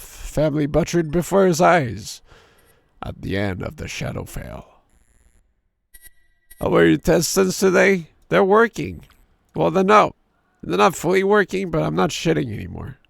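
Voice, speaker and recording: Hoarse gravelly man in his thirties. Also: no echo, clean studio sound. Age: 30s. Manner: drops into a deep storyteller voice for the narration. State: hoarse, sore throat. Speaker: streamer. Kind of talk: live game playthrough, reading story text aloud.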